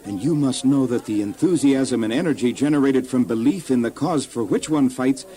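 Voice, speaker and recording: A male actor as an earnest young man serving his leader in a children's Bible audio drama. He speaks eagerly and respectfully in a mellow, medium-pitched voice. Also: moderate pace, lightly acted. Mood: fervent. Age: young adult.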